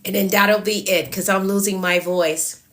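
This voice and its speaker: A woman's voice: mid-range voice, fairly even intonation, normal speed, noisy background. A woman speaks with a blocked nose and a voice that is starting to wear out. She coaches live viewers, calls out their names and repeats her encouragements. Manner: encouraging, repeats phrases, greets viewers by name. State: congested and losing her voice. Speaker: productivity coach hosting a livestream. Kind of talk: live stream chat with viewers.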